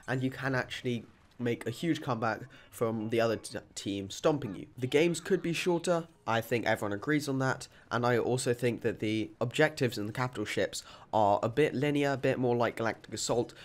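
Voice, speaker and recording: A man with a sore throat giving a quick, upbeat voice-over guide to a video game. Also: medium pitch. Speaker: gaming YouTuber.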